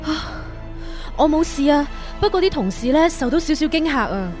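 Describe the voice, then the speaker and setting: High-pitched spirited young heroine. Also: female voice, lively intonation, moderate pace, darkish tone, soft background music. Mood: reassuring. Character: sweet, spirited young heroine, easily startled. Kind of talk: Cantonese radio drama acting.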